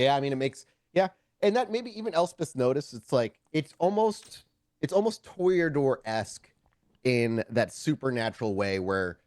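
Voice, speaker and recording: A man's voice: mid-range voice, a little mellow, no room sound, clean studio sound. A man narrating a tabletop roleplaying game as storyteller, improvising descriptive scenes and rephrasing as he goes.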